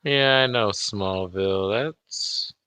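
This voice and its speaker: A man in casual chat, laconic and low-key, with blunt short remarks. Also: takes it slowly, sharp tone, smooth, no room sound.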